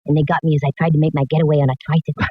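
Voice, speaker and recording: A woman's voice: low voice, level tone, rapid-fire, husky, no echo. An American actress playing a wry, sassy woman detective in a 1940s radio drama, narrating with breezy sarcasm. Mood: teasing. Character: wry, sassy young female private sleuth. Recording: muffled, noisy 1940s radio recording.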